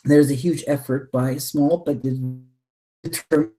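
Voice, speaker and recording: A man's voice: mid-range voice, fairly even intonation, takes it slowly, dark tone. A man giving an extended explanatory talk as a guest, speaking emphatically, posing rhetorical questions and repeating phrases for weight. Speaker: interview guest, researcher.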